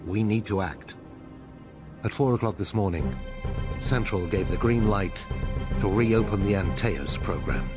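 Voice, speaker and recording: A man playing a stern, commanding leader of a secret agency in a game cutscene, measured and authoritative. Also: on the low side, lively intonation, music in the background. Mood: grave briefing.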